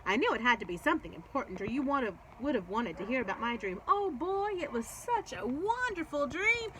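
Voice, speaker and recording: A high-pitched, swooping little female storybook creature, acted out by a woman reading aloud. She is excitable and self-absorbed, babbling eagerly as if nobody could stop her. There is some background noise. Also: a little fast. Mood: surprised, eager. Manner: babbling, talkative, breathlessly eager. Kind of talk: children's storybook read-aloud.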